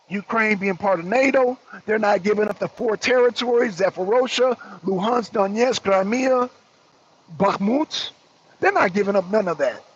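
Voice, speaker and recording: A man's voice: sits high, slightly rough. A man hosting a political talk show, giving blunt, emphatic commentary and repeating phrases for effect. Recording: dull lo-fi audio.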